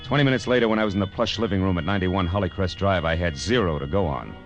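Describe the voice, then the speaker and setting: An actor playing a wry, hardboiled private detective in an old radio crime drama, with dry wisecracking delivery. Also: male voice, deep voice, very fast, lo-fi sound, faint music behind. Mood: wry, narrating. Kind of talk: hardboiled 1950s radio detective narration and dialogue.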